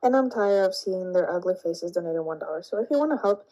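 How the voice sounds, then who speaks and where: A congested woman with a warm, mellow voice speaking in a casual vlog. She is blunt and dismissive, brushing off her critics. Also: on the low side, animated, fast talker, low-quality recording.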